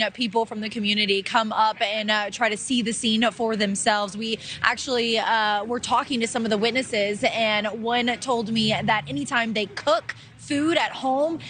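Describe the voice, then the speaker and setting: A woman's voice: on the high side, sharp tone. A woman delivering a live news report as a field reporter. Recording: outdoor live report with background noise.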